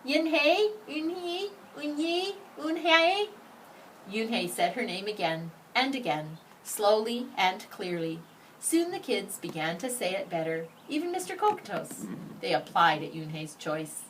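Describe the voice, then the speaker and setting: A woman reading a children's storybook aloud in an expressive narration, with deliberate phrasing and strong emphasis. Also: lowish voice. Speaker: storybook reader. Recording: slightly distant mic, faint background noise.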